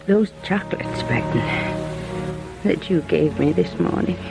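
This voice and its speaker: An actress playing a dying elderly aunt in a radio drama, halting and gently scolding. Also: female voice, lowish voice, expressive, vocal fry, heavily acted, low-quality recording. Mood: weak, sorrowful. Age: older. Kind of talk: 1950s radio drama acting. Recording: old radio recording with music behind.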